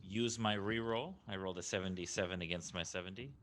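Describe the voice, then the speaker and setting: A man's voice: sits low, quick pace, a bit bright, slight background noise. A man talking casually as a player in a tabletop roleplaying game.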